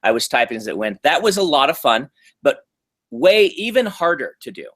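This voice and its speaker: Chatty man pausing with ums. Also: a little high, big pitch swings, fairly bright tone. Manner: thoughtful, frequent ums and restarts. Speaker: author being interviewed. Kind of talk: casual interview answers.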